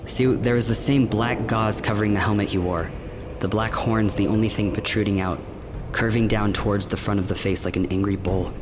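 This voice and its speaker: A man acts a troubled hero telling his past in a fantasy radio drama. His lowish voice is subdued and reflective, with clear ambient noise behind it. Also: steady tone, fairly quick, natural voice. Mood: haunted, reflective. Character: haunted young male adventurer recalling enslavement. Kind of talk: fantasy radio play acting.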